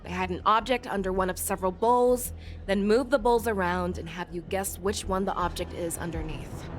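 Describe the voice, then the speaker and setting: A woman voicing a commanding female general who speaks in a measured, instructive way and rallies her troops. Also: medium pitch, natural voice. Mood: steady. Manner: measured, instructive, rousing when addressing troops. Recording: studio game dub over loud music.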